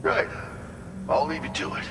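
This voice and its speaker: A man's voice: moderate pace, reverberant, long reverb tail, natural voice. An actor playing an earnest, wistful male colonist in a video game, talking in a sincere, conversational and folksy way. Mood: businesslike, friendly. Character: earnest, wistful male colonist grieving his lost brother. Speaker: game character briefing the player. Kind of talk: cinematic video game dialogue.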